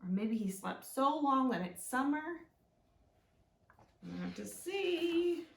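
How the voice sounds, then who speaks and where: A woman leading a children's storytime as a librarian, reading aloud expressively and talking gently and simply to young listeners. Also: sits high, sing-song, takes it slowly, vocal fry, a little hiss or hum. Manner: gentle, simple, encouraging talk aimed at small children. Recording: roomy, echoing indoor sound.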